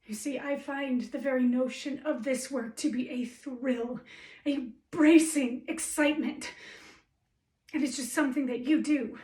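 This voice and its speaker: A woman performing a stage monologue as a brisk, witty, ambitious educated woman, assertive and emphatic. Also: sits high, steady tone, darkish tone. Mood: indignant, passionate. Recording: roomy echo, distant microphone.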